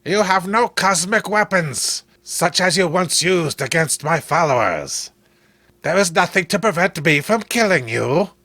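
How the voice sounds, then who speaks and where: A man plays a giant exiled alien titan from a horror comic, grandiose and menacing. The voice is slow, gravelly and forceful, swinging widely as he proclaims his vengeance. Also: high-pitched, animated, dry recording, full character voice. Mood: menacing triumph.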